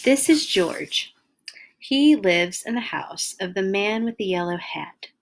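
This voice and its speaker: A woman hosting a read-along, reading a children's picture book aloud with animated, exaggerated emphasis for young listeners. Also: mid-range voice, takes it slowly, poor audio.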